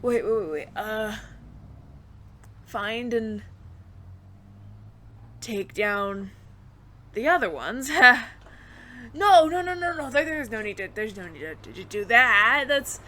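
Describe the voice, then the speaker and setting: A woman's voice: a little high, animated, takes it slowly, pauses often, pushed and bright, a little hiss or hum, full character voice. A woman playing a nervous, evasive alien roommate in an audio roleplay, stammering and over-explaining to the listener. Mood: flustered, pleading.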